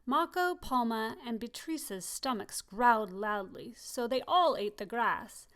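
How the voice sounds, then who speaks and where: A woman reading a children's picture book aloud, expressive and slowing down on key phrases. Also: on the high side. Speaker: storybook reader. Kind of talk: children's story read-aloud.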